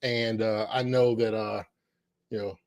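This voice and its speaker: A man's voice: fairly low pitch, level tone, measured pace, darkish tone, no room sound. A middle-aged man co-hosting a podcast, chatting casually and upbeat, with lots of fillers and restarts, from a home corner on a podcast microphone. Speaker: podcast co-host. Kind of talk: casual chat.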